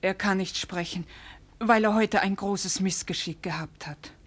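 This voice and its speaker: An actress playing an anxious, careworn poor cottager's wife in a 1950s German radio play, with a plaintive, worried manner. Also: female voice, medium pitch, unhurried, slightly rough. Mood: respectful. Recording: vintage 1950 radio recording.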